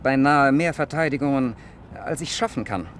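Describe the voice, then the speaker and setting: Casting: man to play smug, dryly ironic young lawyer, mid-pitched voice, vintage German radio drama with faint noise. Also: normal speed, close to an everyday voice. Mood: smug, joking. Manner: dry, ironic, clipped. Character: sardonic, smug young defence lawyer, adoptive brother. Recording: noisy 1960s radio recording with clicks.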